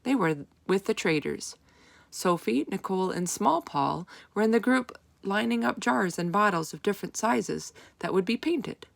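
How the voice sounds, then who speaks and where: A woman reading a children's storybook aloud as narrator, with expressive, deliberate emphasis. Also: medium pitch, creaky.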